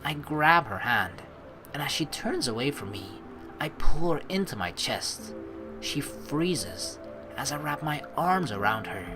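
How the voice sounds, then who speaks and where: A man's voice: on the high side, very animated, a little slow. A man hosting a let's play, informal and rambling, moving between casual commentary and reading story text aloud. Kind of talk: casual game commentary mixed with dramatic read-aloud narration. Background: game music.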